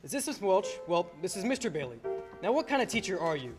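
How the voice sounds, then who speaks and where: A young man acting a fast-talking, harried father. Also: sits high, sing-song, over music. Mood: irritable. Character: harried, short-tempered small-town father on the edge. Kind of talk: old-time radio play acting.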